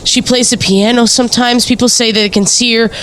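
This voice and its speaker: A woman's voice: a little high, fairly even intonation, brisk, a bit bright, slight background noise, close to an everyday voice. A woman playing a nervous, earnest investigator in a spooky audio play, hesitant, with sighs and gasps. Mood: earnest, curious. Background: music.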